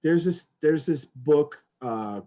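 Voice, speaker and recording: A middle-aged man with a slightly high voice co-hosts a casual comedy podcast. He talks slowly and haltingly, doubling back on words and swearing freely. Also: animated, mellow. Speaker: podcast co-host.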